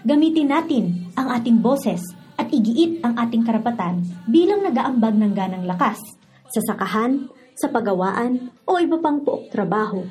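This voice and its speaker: A woman's voice: a little high, animated, moderate pace, a little mellow, slightly rough. A woman with a Filipino accent hosting a radio drama series and reading scripted narration. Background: background music.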